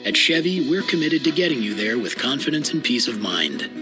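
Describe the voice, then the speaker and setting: A man's voice: mid-range voice, sing-song, a little fast. A man reading a scripted car commercial as a voice-over announcer. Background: music.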